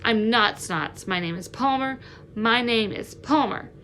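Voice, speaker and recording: A woman's voice: a little high, a little slow, fairly bright tone, slight background noise. Woman reading as a defiant, insistent young boy. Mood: firm. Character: defiant, desperate young boy standing up to bullies. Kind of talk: storybook character voice.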